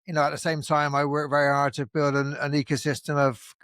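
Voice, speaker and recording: Male podcast host, rapid and animated delivery, lots of restarts, dry close sound. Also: fairly high pitch, clean tone, no room sound. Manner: animated, with frequent restarts and fillers. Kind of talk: casual conversational interview.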